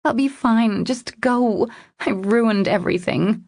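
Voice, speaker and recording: Distraught young woman, played by a female narrator, breathy and higher-pitched, apologetic and pleading, fragile audiobook romance heroine. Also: takes it slowly, a little mellow, no room sound. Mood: sad, defeated. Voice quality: breathy, tearful, cracking.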